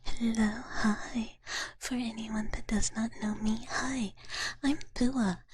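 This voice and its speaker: A woman streaming ASMR in a soft, breathy whisper, chatting casually and playfully with viewers up close to the microphone. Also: a little high, fairly even intonation, takes it slowly. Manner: playful, speaks of self in third person. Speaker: ASMR livestreamer.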